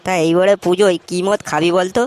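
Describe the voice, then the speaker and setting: A man's voice: sits high, steady tone, fast, noisy background, close to an everyday voice. A man voicing a broke, grumbling, booze-loving young slacker in a comic cartoon, dismissive and slangy, with a West Bengal Bengali accent. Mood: mocking, curious.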